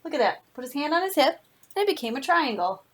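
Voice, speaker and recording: A woman reading a children's storybook aloud as a teacher would, with emphatic, drawn-out phrasing for young listeners. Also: high-pitched, very animated. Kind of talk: expressive children's read-aloud.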